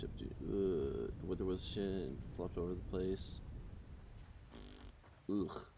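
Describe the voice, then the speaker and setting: A man's voice: deep voice, slow pace, creaky, noisy background. A man in his thirties streaming a game, chatting casually with his viewers and rambling with lots of fillers. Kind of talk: casual chat with chat while gaming.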